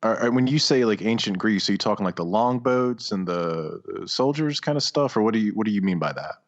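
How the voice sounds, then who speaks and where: A man's voice: fairly low pitch, expressive, brisk. A man co-hosting a podcast, riffing casually about video games in loose, conversational phrases. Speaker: podcast co-host. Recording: slightly dull, lo-fi voice recording.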